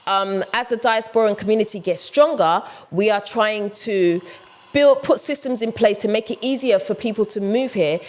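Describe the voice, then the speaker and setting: A woman's voice: mid-range voice, moderate pace, darkish tone. A woman with a British accent and some Ghanaian traces, from Ghana, chatting to camera in a casual vlog and repeating words for emphasis. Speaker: vlogger and matchmaker. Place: home in Ghana.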